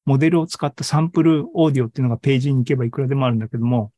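A man with a soft-edged, mellow and rather husky voice gives an informal tech presentation. He talks conversationally, dropping in asides and little tag words as he explains. The sound is close and dry. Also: medium pitch, very fast, no room sound. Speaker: presenter at an AI hobby forum and podcast host. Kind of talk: informal live tech presentation over an online meeting. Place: online Zoom live session.

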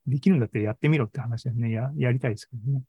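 A man's voice: medium pitch, rapid-fire, dark tone, breathy edge, dry recording. A man giving an informal tech talk as an online presenter, thinking aloud and often checking for agreement. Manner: conversational, seeks agreement with frequent sentence-end tags. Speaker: presenter of an online AI seminar and podcast. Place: indoors, live online video meeting.

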